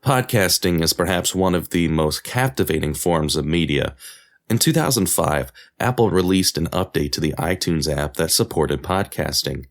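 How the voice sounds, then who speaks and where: A man with a low voice delivers scripted podcast narration in the role of host. Faint music plays along with his voice. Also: moderate pace. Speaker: podcast host and audio drama creator.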